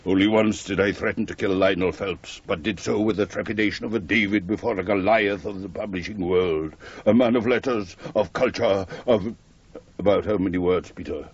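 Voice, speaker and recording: A murderous, gin-soaked male poet full of pompous declamation. The voice is low and husky, made for radio drama, with a dull, band-limited 1980s broadcast sound. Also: moderate pace. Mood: grandiose.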